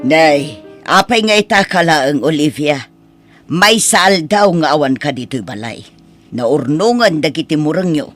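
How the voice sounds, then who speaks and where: A woman's voice: sits low, animated, measured pace, soft background music. A woman with an Ilocano accent playing a stern, nagging older mother-in-law in an audio drama. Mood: scolding, indignant. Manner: nagging, reproachful.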